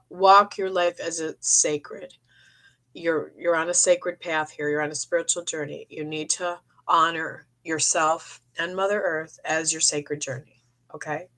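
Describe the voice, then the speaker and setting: A middle-aged woman with a cold, losing her voice, chatting in a meandering way on a live stream and often clearing her throat. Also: sits low, a bit bright, poor audio. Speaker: psychic medium streaming live. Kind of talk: rambling live-stream chat. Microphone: phone or webcam for a live stream.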